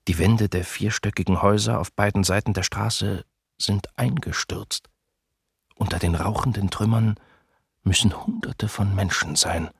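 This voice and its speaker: Husky, gravelly male chronicler. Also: lowish voice, fairly even intonation, unhurried, no echo, clean studio sound, heavily acted. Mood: grave, hushed. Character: grave male chronicler witnessing a burning, ruined city. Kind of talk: dramatic documentary radio play narration.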